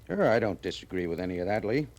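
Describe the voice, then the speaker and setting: An actor playing a firm, weary, duty-bound army major in a 1950s radio western, deliberate and formal, on a vintage recording. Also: male voice, on the low side, very animated, a little mellow. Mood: firm, troubled.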